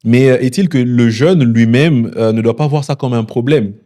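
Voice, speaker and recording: Young guy, founder talking in an interview, lots of euhs, warm low-key voice. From DR Congo. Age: 20s. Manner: measured, with many hesitations. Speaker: founder and CEO of a delivery startup. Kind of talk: interview answers.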